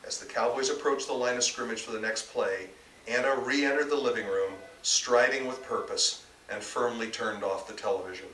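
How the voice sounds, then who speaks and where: A man's voice: medium pitch, steady tone, moderate pace, bright, forceful tone. A man reading his own story aloud in a dramatic, emphatic storytelling manner. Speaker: author reading own work. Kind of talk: live literary reading, scripted narration. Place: bookstore reading event before an audience. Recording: distant mic, echoey room, faint noise.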